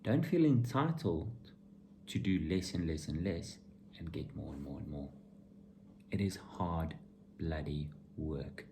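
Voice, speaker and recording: A man's voice: extremely low pitch, lively intonation, a little slow, pauses often, warm tone, slight background noise. A middle-aged man giving an informal motivational monologue to viewers, speaking bluntly and with emphatic, opinionated repetition. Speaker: vlogger and entrepreneur giving motivational talk.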